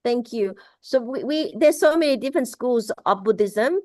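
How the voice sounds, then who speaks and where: A woman from Laos giving a casual spoken Buddhist teaching over a video call, halting, with many restarts and fillers. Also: fairly high pitch, lively intonation, a little mellow, dry recording. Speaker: Buddhist speaker.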